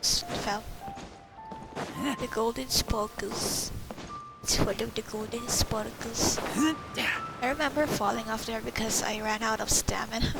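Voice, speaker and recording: A woman's voice: a little high, steady tone, a little slow, gravelly, pauses often, low-quality recording. A woman giving casual, informal and reactive commentary while playing a video game. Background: game music.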